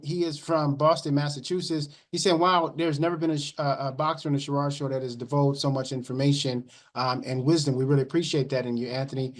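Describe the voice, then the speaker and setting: A man hosting a live interview, upbeat, reading out viewer questions to his guest. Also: a little high. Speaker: show host.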